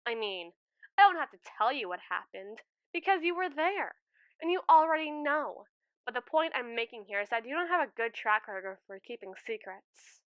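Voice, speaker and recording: A woman's voice: on the high side, moderate pace, clear, resonant tone, no echo, highs cut off, lightly acted. A woman playing a lovestruck, anxious schoolgirl in an audio roleplay, chattering expressively and dramatically to a friend. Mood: fond, teasing. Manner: expressive, rambling, theatrical teenage chatter. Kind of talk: ASMR roleplay, one-sided conversation with a friend.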